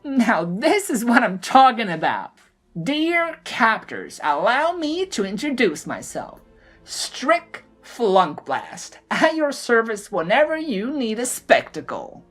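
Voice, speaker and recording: A man voicing a cocky, mischievous gnome showman in an audio drama, theatrical and taunting with drawn-out flourishes. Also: very high-pitched, wildly swooping pitch, fairly bright tone, faint music behind, pitched above the performer's own voice, full character voice. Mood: gleeful, showy. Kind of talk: acted audio drama dialogue.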